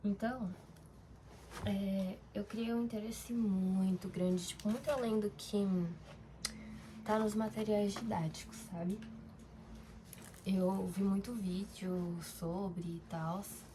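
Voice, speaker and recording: A woman's voice: mid-range voice, fairly even intonation, takes it slowly, noisy background. A young woman from Brazil talking casually in a diary-style vlog, rambling, with fillers and self-corrections. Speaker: vlogger.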